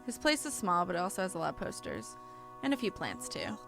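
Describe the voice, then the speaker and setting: A woman's voice: on the low side, brisk, vocal fry. A woman live-streaming a game, reading story text aloud expressively and chatting casually in between. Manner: expressive reading mixed with chatty, self-interrupting reactions. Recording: music behind the voice. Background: game music.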